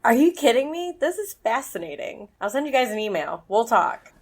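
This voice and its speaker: A woman's voice: on the high side, big pitch swings, normal speed, noisy background. A woman playing a busy, enthusiastic academic scientist in an audio drama, chatty and candid. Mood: fascinated.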